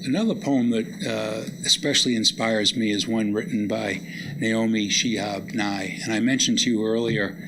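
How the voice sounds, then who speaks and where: A man giving a public lecture, introducing poems and readers in a halting, reflective manner. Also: lowish voice, steady tone. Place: indoor public lecture event. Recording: noisy live lecture room recording.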